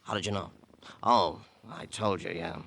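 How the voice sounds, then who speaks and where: An actor playing a grumbling, self-important insurance boss in a 1950 radio crime drama, chatty and put-upon. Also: male voice, sits low, fairly even intonation, moderate pace, creaky, sharp tone, heavily acted. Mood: wry, knowing. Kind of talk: 1950 radio character acting.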